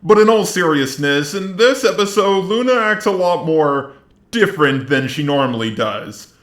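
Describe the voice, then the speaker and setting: A man's voice: on the high side, lively intonation, normal speed, a bit bright. A man giving informal review commentary with wry, joking asides. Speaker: video reviewer. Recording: roomy, echoey sound.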